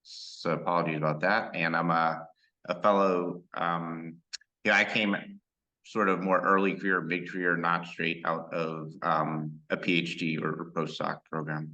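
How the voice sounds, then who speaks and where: A man with a raspy, worn voice introducing himself as a startup founder on a video call, halting and apologetic. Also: deep voice, flat delivery, sharp tone. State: raspy, tired voice. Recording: dull lo-fi call audio.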